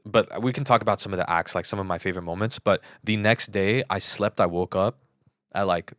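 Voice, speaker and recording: A man's voice: fairly low pitch, a little fast, a bit bright, dry recording, studio quality. A man co-hosting a podcast in casual chat, telling personal stories emphatically and repeating words for stress. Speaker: podcast co-host.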